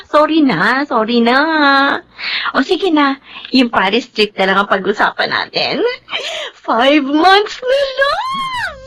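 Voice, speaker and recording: Very high-pitched, emotional Filipina best friend. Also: female voice, huge pitch swings, slow pace, full character voice. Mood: playful, teasing. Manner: emotional, dramatic. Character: bubbly young woman, devoted best friend, stubborn in grief. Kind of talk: Filipino radio-style drama acting. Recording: loud dramatic music behind.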